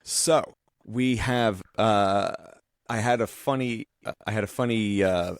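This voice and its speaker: Deep-voiced American man co-hosting a podcast. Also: slow pace, clean tone, no room sound, studio quality. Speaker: podcast host. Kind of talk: casual chat.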